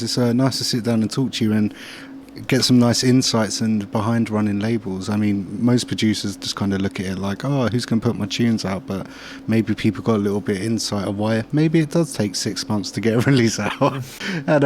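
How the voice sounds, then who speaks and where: A man's voice: on the low side, a little mellow. A man hosting a show in a casual, conversational way. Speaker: show host and music producer. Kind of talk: casual chat. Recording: faint background noise.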